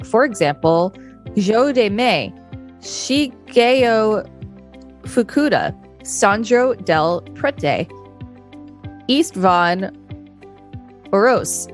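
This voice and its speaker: Woman reading an article over faint music. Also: mid-range voice. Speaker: podcast co-host. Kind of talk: reading an encyclopedia article aloud with brief asides.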